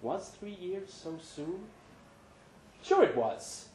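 A man giving a measured, dramatic live reading of a literary short story. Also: high-pitched, sing-song, a little mellow. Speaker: story reader. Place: pub event room, live reading. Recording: echoey pub room, noticeable background noise.

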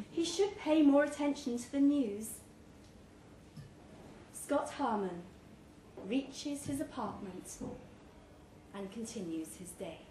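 A woman's voice: on the high side, animated, slow, pauses often, mellow. A woman reading a short story aloud to a live event, expressively, giving life to the dialogue. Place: indoors, pub event room. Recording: roomy live pub recording, background noise.

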